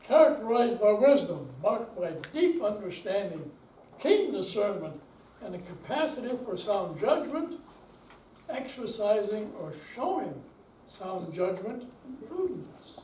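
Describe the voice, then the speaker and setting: A man's voice: sits high, very animated, measured pace, warm tone. An older man giving a dramatic reading as an amateur stage performer in a senior center room. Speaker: amateur readers theater performer. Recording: distant, echoey room with faint noise.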